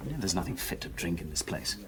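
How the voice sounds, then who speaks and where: A man's voice: low voice, expressive, fast, a bit bright. An actor playing a jovial, idle, slangy club man in a radio drama, with an upper-class English accent. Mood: grumbling. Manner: slangy, exclamatory.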